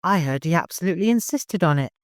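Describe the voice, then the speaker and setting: Man putting on a brisk, higher-pitched camp woman. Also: expressive, no room sound, studio quality. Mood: brisk, reassuring.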